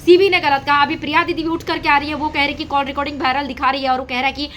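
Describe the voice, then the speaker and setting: A woman with a very high, bright and sharp voice. She gives casual reaction commentary straight to her viewers, hammering points home through repetition. There is faint noise behind her. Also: little pitch movement, fast talker. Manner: repetitive, emphatic phrasing. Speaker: reaction video host. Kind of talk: casual gossip commentary to an audience.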